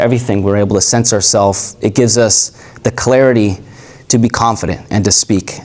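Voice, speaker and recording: A man coaching a live workshop group, talking in a loose, rambling way that often circles back and corrects itself. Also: lowish voice. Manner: relaxed, rambling, self-correcting. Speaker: communication coach.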